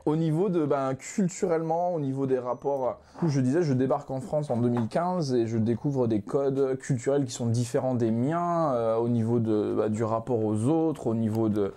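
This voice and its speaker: A man's voice: medium pitch, moderate pace, hardly any pauses. A young man who grew up in Ghana, a manga author talking casually as a podcast guest, with lots of hesitations and restarts. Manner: relaxed, many hesitations and restarts.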